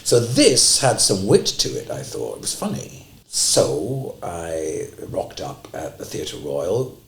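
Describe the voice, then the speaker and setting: Man, lowish voice, animated anecdote-teller; roomy sound. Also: slow. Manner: lively storyteller, acts out quotes. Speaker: interviewee, panto actor. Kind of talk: anecdotal interview.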